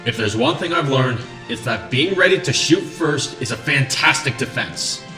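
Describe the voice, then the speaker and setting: A man's voice: mid-range voice, lively intonation, normal speed, natural voice. Man voicing firm robot captain, echoey. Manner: firm, commanding. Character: gruff, decisive robot ship captain. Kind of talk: comic dub acting. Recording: echoey room, music underneath.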